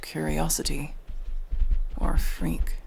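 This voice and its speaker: Man playing a gravelly, unhurried masked elf mercenary. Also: on the high side, flat delivery, darkish tone, a little hiss or hum, heavily acted, low-quality recording. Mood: subdued, confiding. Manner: dry, unhurried, matter-of-fact. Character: masked, scarred elf mercenary, guarded, dry, gruffly protective. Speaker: voice actor in audio roleplay. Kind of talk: ASMR fantasy roleplay.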